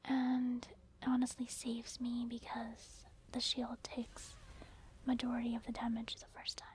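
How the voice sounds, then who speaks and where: A woman doing soft, whispered ASMR commentary over gameplay, chatting informally to her viewers. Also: a little high, monotone, measured pace, a little hiss or hum. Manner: informal, conversational, addressing viewers. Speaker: ASMR gaming creator.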